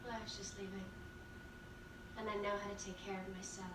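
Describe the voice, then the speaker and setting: An actress playing a quippy, defiant young demon slayer in a TV drama, with wry, sarcastic banter. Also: female voice, medium pitch, little pitch movement, brisk, heavy background noise, natural voice. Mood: guarded. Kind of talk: television drama acting. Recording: roomy, distant playback with music behind.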